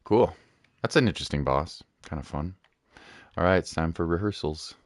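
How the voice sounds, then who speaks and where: A man's voice: deep voice, animated, normal speed, a little hiss or hum. A man streaming a game with casual, laid-back commentary, musing aloud as he plays.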